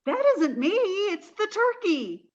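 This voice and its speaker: A woman playing a naive, soft-hearted, scatterbrained young woman in a 1940s-style radio comedy. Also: very high voice, sing-song, slow pace, lo-fi sound, exaggerated voice. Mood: pleading.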